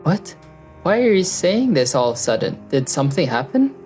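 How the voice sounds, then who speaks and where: A woman's voice: sing-song, normal speed, a little mellow. A woman playing an earnest, loyal young office worker and narrator in a manga dub, speaking in a conversational way. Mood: baffled, hurt. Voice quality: low-pitched voice. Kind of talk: anime-style manga dub with first-person narration. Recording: background music under the voice. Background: music.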